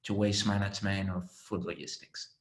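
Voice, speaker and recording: A man in his late thirties with a Spanish accent, explaining his work as a venture investor in a measured way, with frequent fillers, on a video call panel. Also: lowish voice, level tone. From Spain. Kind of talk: panel conversation. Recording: roomy echo.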